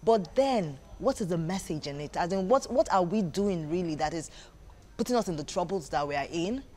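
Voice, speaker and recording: A woman's voice: on the low side, animated, normal speed, dark tone. A woman with a Nigerian accent speaking as a guest in a conversational interview, earnest and repeating phrases for emphasis. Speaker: interview guest, stage play director.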